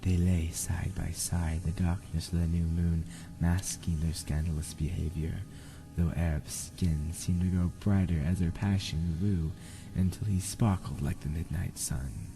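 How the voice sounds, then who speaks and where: Low, creaky, slow male narrator. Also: steady tone, dark tone, soft background music, rough recording. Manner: dramatic, deliberate storytelling. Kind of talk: scripted narration of a melodramatic audio drama.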